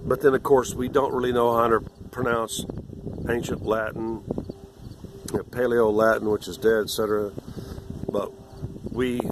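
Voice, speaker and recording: A man in his sixties with a rough, irregular voice, rambling and lecturing condescendingly in a video monologue. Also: mid-range voice, level tone, normal speed, long pauses, background noise. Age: 60s. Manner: condescending, lecturing, meandering with restarts. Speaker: vlogger.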